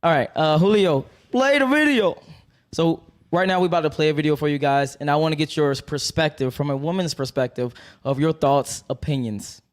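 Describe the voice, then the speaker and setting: Man hosting a podcast panel, brisk. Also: fairly high pitch. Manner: brisk, loud prompting questions. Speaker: podcast host. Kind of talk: moderating a panel discussion.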